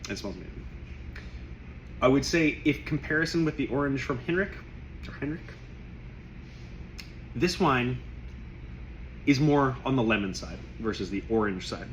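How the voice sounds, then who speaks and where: A man whose voice is going hoarse after a cough. He talks in loose, rambling bursts about the wine in front of him, pausing to clear his throat. His pitch sits in the middle, and faint room noise hums behind him. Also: lively intonation, lo-fi sound. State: losing his voice. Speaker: wine shop owner tasting wines. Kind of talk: casual tasting video.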